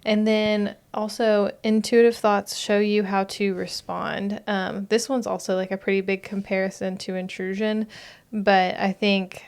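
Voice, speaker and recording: A young woman co-hosting a podcast, gravelly, with a flat, even delivery. She talks in a reflective, soft-spoken way, dotted with fillers. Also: medium pitch, measured pace. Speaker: podcast co-host. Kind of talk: casual chat.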